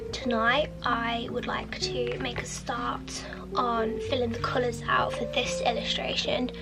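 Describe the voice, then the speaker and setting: A young woman with a sniffly head cold chats in a soft, half-whispered ramble as she tidies her small home art studio. Her voice sits a little high and has a gravelly edge. Music plays clearly behind her. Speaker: artist vlogger. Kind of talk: casual studio vlog chat.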